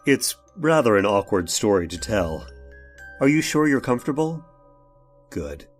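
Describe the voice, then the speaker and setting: An actor playing a courteous, ailing inventor of artificial limbs in a radio horror drama, speaking in a halting, rambling, genteel way. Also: male voice, medium pitch, sing-song, faint music behind. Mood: polite, uneasy. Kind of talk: old time radio horror drama acting.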